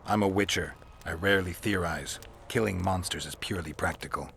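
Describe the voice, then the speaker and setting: An actor playing a stoic male monster hunter in a video game, terse and deadpan with dry, laconic delivery. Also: deep voice, a little fast, gravelly, a little hiss or hum. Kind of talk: video game dialogue acting. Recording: music behind the voice.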